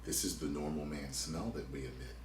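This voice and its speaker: Casting note: male host, very low voice, casual and explanatory, recorded far off in an echoey room. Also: a little fast, a little mellow. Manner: loose, explaining, swears casually. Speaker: channel host. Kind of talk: casual chat. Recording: roomy and distant with faint noise.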